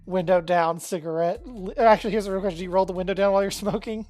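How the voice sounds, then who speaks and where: A woman's voice: mid-range voice, fast, darkish tone, no room sound. A woman playing in a tabletop game, chatty and teasing, often laughing. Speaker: player.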